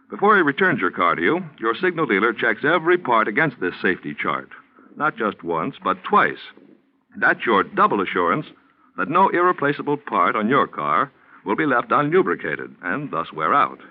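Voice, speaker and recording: Animated American male 1940s radio announcer. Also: mid-range voice. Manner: polished, emphatic. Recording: muffled 1940s radio recording, faint music.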